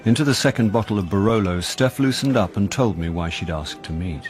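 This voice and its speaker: A British actor playing a wry, self-deprecating middle-aged jobbing actor in a radio drama, with a dry, sardonic delivery. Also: male voice, lowish voice, lively intonation, fairly quick, a little mellow, soft background music, close to an everyday voice. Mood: wry narration. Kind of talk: radio drama acting, with first-person narration.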